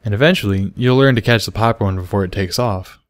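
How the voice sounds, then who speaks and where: A man voicing a patient male unicorn teacher in a storybook, speaking slowly with gentle command. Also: on the low side, clean tone, no echo, close to an everyday voice. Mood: gently commanding. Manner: slow, gentle, instructive. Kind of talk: storybook character voice.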